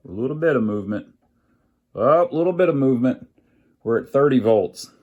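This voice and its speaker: A man's voice: medium pitch, lively intonation, fairly quick, phone-quality sound. A man casually thinking aloud as he narrates a hands-on repair, with a folksy, wry sense of humor. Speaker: amateur radio repairer and video host.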